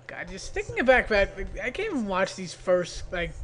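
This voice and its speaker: A man's voice: high-pitched, wildly swooping pitch, moderate pace, a bit bright, slight background noise. A man giving a casual, off-the-cuff commentary on his own videos in a self-deprecating, grumbling way. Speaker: creator of an abridged parody series.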